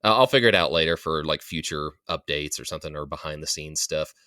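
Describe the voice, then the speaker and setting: Super deep but crisp forceful guy voice, casual unscripted update, dry close sound. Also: fast talker, no echo. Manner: informal, unscripted, thinking aloud. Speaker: storytelling channel creator and host. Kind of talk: casual follow-up note to listeners.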